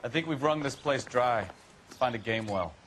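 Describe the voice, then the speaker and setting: A man acting a grumbling, sarcastic American police detective partner in a crime video game, with clear vehicle noise behind him. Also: mid-range voice, lively intonation, moderate pace, fairly bright tone, lightly acted. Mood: wry. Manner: sarcastic, griping.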